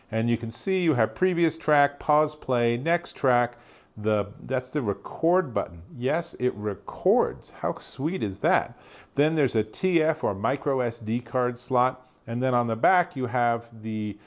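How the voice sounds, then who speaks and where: A man giving a casual, conversational product demonstration as an enthusiastic tech reviewer. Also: medium pitch.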